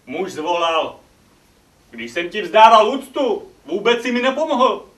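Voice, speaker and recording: An actor playing Aesop, an ugly, witty and impudent slave who tells tales, in theatrical stage comedy style. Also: male voice, sits high, sing-song, fairly bright tone. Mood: animated storytelling. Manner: theatrical, cheeky, declaiming. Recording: echoey theatre stage, distant microphone.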